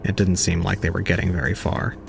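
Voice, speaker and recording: A man's voice: deep voice, little pitch movement, brisk, creaky, over music. A man playing an aloof young man, narrating an audio drama in a formal, detached, cold way. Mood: brooding, detached.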